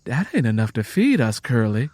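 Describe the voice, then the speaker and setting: A man's voice: medium pitch, very animated, moderate pace, darkish tone, no echo. A man voicing a worried farm mother. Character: worried, pleading poor sharecropper wife and mother. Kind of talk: dramatic audiobook character voice.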